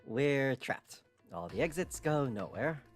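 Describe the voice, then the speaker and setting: Really low voiced woman chatting on a game stream, super swoopy delivery, music behind. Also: big pitch swings, slow. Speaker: streamer hosting a visual novel playthrough. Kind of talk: casual chat on a game stream. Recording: background music under the voice. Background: background music.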